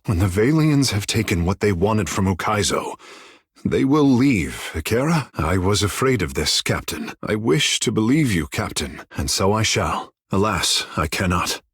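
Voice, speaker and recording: Young proud godlike from a fantasy game, lowish husky voice, talks all formal and poetic. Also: male voice, no echo, clean studio sound. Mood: solemn, proud. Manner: formal, lyrical, theatrical phrasing. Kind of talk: video game character dialogue.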